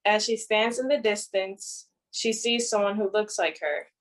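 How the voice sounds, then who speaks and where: A woman's voice: medium pitch, steady tone, unhurried. A woman reading her own poetry aloud as a spoken-word recital. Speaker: poet reading own work.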